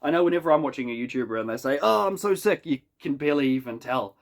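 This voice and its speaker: A man's voice: medium pitch, lively intonation, quick pace. A man with a New Zealand accent and a head cold, giving a chatty, wry spoken review in a casual, self-deprecating way from his small apartment. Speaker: YouTube reviewer.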